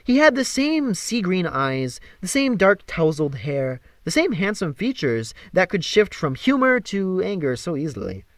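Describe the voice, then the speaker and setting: A man narrating a story from a script in an expressive, theatrical way. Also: high voice, measured pace, hardly any pauses, dry recording. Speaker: amateur audiobook reader.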